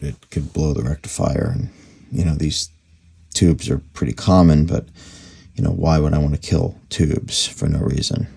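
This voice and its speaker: A man's voice: very deep voice, vocal fry, slightly rough. A man narrating a hands-on repair in a casual, unscripted way, thinking aloud with some hesitation. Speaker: radio repair hobbyist presenting his work.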